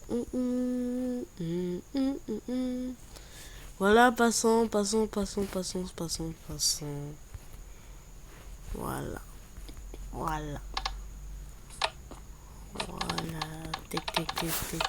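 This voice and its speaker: Woman, congested from a cold; slow, low, repetitive game chatter over noisy background. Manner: slow, repeats words while building. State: has a cold, stuffy voice. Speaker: Minecraft YouTuber. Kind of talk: casual gameplay commentary.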